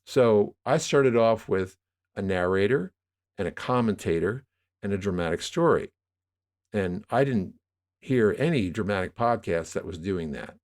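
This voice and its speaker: Low-voiced older man, thinking aloud. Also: animated, a little slow, darkish tone. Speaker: podcast guest, audio drama producer. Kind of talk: conversational interview storytelling.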